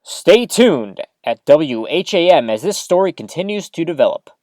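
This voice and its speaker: A performer plays an eager, easily flustered small-town radio host in an audio drama. The androgynous voice sits at a middle pitch and swings expressively through each sentence. The delivery is folksy and earnest, addressed straight to the townsfolk, in a dry recording. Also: moderate pace, dry recording, natural voice. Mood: confiding, newsy. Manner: folksy, chatty, expressive, addresses listeners directly. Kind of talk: scripted audio drama as local radio broadcast.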